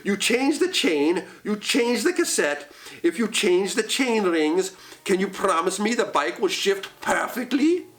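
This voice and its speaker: A man's voice: high voice, sing-song, measured pace, a bit bright, exaggerated voice. A man voicing a frustrated cyclist arguing with his bike shop. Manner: exasperated. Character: frustrated cyclist customer, middle-aged man.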